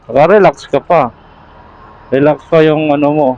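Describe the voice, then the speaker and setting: A man's voice: on the high side, moderate pace, a little mellow, highs cut off. A man chatting casually as a motorcycle vlogger through a helmet intercom while riding. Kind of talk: casual riding vlog chat. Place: outdoors, riding a motorcycle in traffic.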